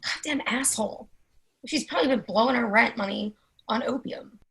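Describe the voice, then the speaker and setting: A brash, intense woman in her late twenties acted out in a play reading, swearing freely. Her voice is high, forceful and raspy at the edges. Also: moderate pace, heavily acted, low-quality recording. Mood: irritated. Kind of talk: readers theatre play reading.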